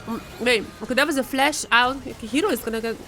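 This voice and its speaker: Woman, high-pitched, esports commentary, chatty, excitable, live game casting. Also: fast talker, faint music behind. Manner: excitable, chatty, rising into shouts in action.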